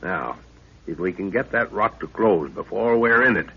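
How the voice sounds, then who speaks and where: A man playing a level-headed middle-aged professor and expedition leader in a 1930s radio drama, speaking with deliberate authority on an old recording. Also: fairly low pitch, animated, gravelly, husky, narrow, tinny audio, heavily acted. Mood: confident planning.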